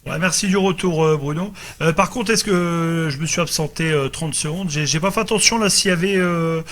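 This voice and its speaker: A man chatting casually as an amateur radio operator, in halting, hesitant phrases. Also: on the high side, a bit bright, slight background noise.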